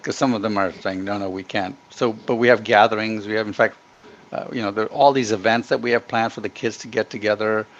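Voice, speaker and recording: A middle-aged man from Ghana giving earnest, opinionated interview answers, emphatic and halting as he restarts his sentences. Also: medium pitch, a bit bright. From Ghana, grown up in Africa and the Middle East. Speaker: podcast guest. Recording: dull, muffled lo-fi connection.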